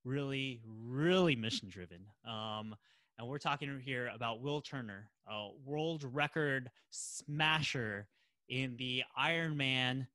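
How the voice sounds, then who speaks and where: A man's voice: medium pitch, takes it slowly, sharp tone, dry recording. A man hosting a podcast, talking casually and promotionally in an enthusiastic, emphatic manner. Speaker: podcast host.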